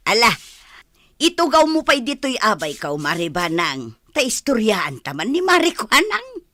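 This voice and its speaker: A woman's voice: on the high side, wildly swooping pitch, measured pace, bright, forceful tone, no echo, clean studio sound, heavily acted. A woman playing an easygoing, sociable village neighbour, chatting in a radio drama. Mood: chatty.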